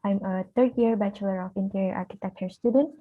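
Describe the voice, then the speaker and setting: Young female student presenter from Indonesia, soft and hesitant, on a tinny video-call line. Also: mid-range voice, fairly even intonation, quick pace. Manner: soft-spoken, hesitant with frequent fillers. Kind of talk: academic presentation.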